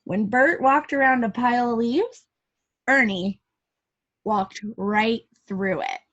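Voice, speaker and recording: Female storyteller for preschoolers, higher-pitched, chats directly to little listeners; dull home recording. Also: takes it slowly, fairly bright tone. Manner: addresses young listeners with questions and asides. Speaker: preschool teacher reading aloud. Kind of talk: read-aloud storytelling for young children with chatty asides.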